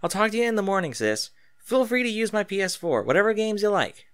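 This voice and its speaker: A man voicing a brisk, protective teenage sister who is a determined sniper, in an audiobook story. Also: high-pitched, very animated, a bit bright, smooth, no room sound, clean studio sound, voice pushed up. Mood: affectionate. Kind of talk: acted audiobook dialogue.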